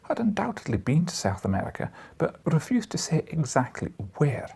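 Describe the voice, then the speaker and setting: A brusque older newspaper editor, acted out by a male audiobook reader, barks curtly and emphatically. The voice is very husky and swings widely in pitch. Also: darkish tone, heavily acted.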